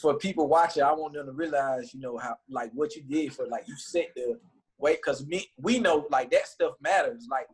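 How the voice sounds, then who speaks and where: A man from hosts a laid-back interview, stopping and restarting as he works toward his point. His voice is husky and sits a little high for a man. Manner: halting, loose, lots of "like" and restarts. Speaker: host, coach. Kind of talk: casual interview chat.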